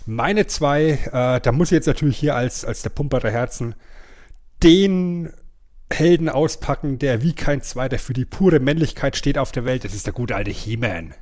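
Guy cohosting a podcast, playful and colloquial, normal mid pitch. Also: moderate pace, darkish tone. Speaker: podcast co-host. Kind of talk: casual nostalgic chat.

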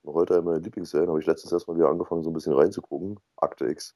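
Very deep-voiced man in casual chat. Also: fairly even intonation, racing, mellow, no room sound, studio quality. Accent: German. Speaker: podcast co-host. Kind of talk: casual nostalgic podcast chat.

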